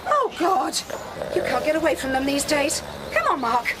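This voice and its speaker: A woman playing a brisk, scolding British mother in a radio drama. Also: high voice, big pitch swings, heavy background noise. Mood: outraged, scolding. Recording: loud music bed behind.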